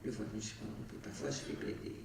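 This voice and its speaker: A woman's voice: quick pace, darkish tone, recorded from a distance, slight background noise. Woman in an echoey hall. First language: Arabic. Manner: chatty, tells anecdotes, many fillers and restarts. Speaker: film director at a screening Q&A. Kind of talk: casual talk to an audience. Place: cinema auditorium. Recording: echoey cinema hall, heard from afar.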